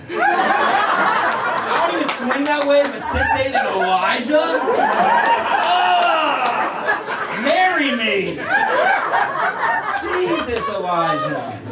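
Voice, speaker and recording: Excitable young comedian working the crowd. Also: male voice, very high-pitched, very noisy. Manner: excitable, teasing, laughs through lines. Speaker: stand-up comedian. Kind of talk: crowd work. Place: small comedy club stage, indoors. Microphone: handheld mic on stand. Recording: roomy club sound from a distance, loud crowd laughter. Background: audience laughing.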